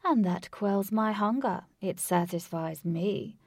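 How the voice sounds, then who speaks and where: Lady doing a grandiose creepy stalker guy, gravelly, dry close sound. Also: medium pitch, dry recording. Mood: self-satisfied. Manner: theatrical, grandiose, drawn-out. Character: theatrical, delusional adult male stalker.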